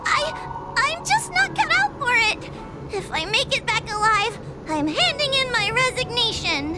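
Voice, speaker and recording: A very high, fragile girl's voice for a video game ghost hunter. She is shy and full of self-doubt, speaking slowly in a tearful whimper over clearly audible music. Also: big pitch swings, full character voice. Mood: despairing, complaining. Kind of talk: video game cutscene acting.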